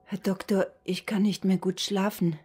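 An actress playing an exhausted, anxious middle-aged cook in a radio drama, speaking in a hushed, faltering way. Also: female voice, medium pitch, level tone, moderate pace, faint music behind, lightly acted. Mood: uneasy. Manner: hushed, faltering, often whispering. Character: exhausted, anxious 44-year-old cook, troubled and ashamed. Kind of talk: German radio drama acting.

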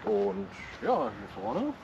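A man's voice: mid-range voice, slow, highs cut off, heavy background noise. A man hosting a casual car vlog, presenting his vintage car outdoors in an enthusiastic, chatty way. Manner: enthusiastic, chatty, relaxed hobbyist talk. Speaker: car channel host.

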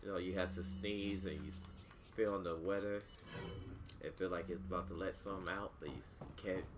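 A man giving casual, loud commentary while playing a game in his hot room, taunting the enemies. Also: sits low, fairly even intonation, moderate pace, music in the background. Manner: trash-talks game enemies, loud outbursts. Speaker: let's play gamer.

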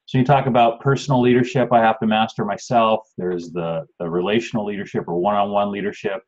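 A man leading an online group discussion over a video call, speaking haltingly with pauses mid-sentence. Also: deep voice, darkish tone, muffled recording. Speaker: class leader.

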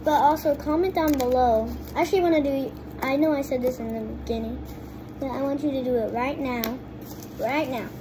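A woman's voice: high voice, brisk, noisy background. A young girl vlogging casually at a kitchen table, rambling and restarting her sentences as she talks to her viewers. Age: child. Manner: chatty, rambling, restarts often. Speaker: kid vlogger. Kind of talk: casual chat to camera. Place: home kitchen table by a window. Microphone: phone propped on the table.

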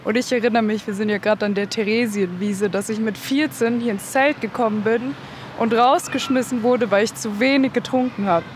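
Junge Frau, nachdenklich im Freien. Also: female voice, fairly high pitch, moderate pace, a little hiss or hum. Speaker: street interviewee. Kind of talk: reflective casual talk. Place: park by a street outdoors. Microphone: handheld interviewer mic.

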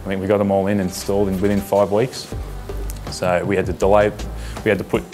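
A man's voice: low-pitched, level tone, fairly quick, darkish tone, soft background music. A man, giving an interview testimonial on a lapel mic. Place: bright indoor stadium room.

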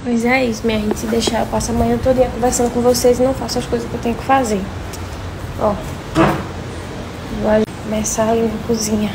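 A woman in her twenties with a Northeastern Brazilian accent and a slightly hoarse voice, chatting casually to her followers on a phone at home. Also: fairly high pitch, little pitch movement, fairly quick. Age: 20s. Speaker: social media vlogger. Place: indoors at home, several rooms. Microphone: phone at arm's length. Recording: noisy phone recording at home.

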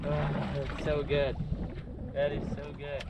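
A young man filming himself fishing from an open boat on a lake, talking in an excited, casual way and laughing as he fights fish. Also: mid-range voice, measured pace, very noisy. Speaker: angler filming his own fishing trips. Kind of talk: casual on-the-spot commentary. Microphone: action camera mounted on the boat.

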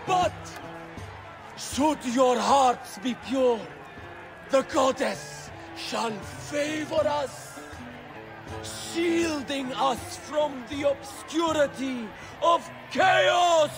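An actor playing a solemn male high priest who declaims a ritual slowly in a video game. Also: raised voice, exaggerated voice. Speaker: high priest leading a rite. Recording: game audio with music and crowd. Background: music and crowd.

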